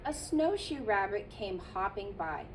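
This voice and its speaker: A childcare teacher, a woman, reading a picture book aloud to children in a gentle storytelling manner with playful asides. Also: mid-range voice, big pitch swings, unhurried, recorded from a distance, a little hiss or hum, low-quality recording.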